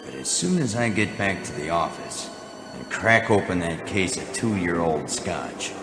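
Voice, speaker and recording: An actor playing a weary, cynical, wry private eye in a deadpan radio noir parody. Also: male voice, low-pitched, a little slow, roomy sound, over music, full character voice.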